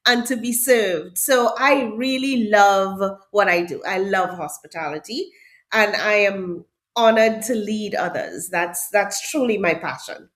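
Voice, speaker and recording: A woman's voice: medium pitch, measured pace, a bit bright. Emphatic Trinidadian woman, middle-aged. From Trinidad and Tobago. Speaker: hotel HR director, podcast guest. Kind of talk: interview answers.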